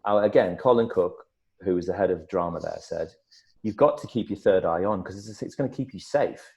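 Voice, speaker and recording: A middle-aged man, an actor, telling stories in a casual interview, lively and full of restarts. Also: sits low, a little fast, gravelly. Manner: animated storyteller, many restarts and repeated words. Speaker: actor guest.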